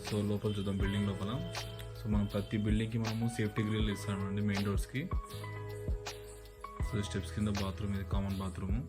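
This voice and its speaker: Man, Telugu Indian accent, lowish pitch, quick delivery, matter-of-fact house tour narration, loud music behind the voice. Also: completely flat delivery. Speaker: property agent presenting a house on his channel.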